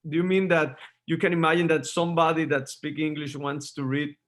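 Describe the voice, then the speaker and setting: Halting man. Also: fairly high pitch, flat delivery. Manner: stumbling, repeats words while searching for phrasing. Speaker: interviewer, host. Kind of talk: conversational interview.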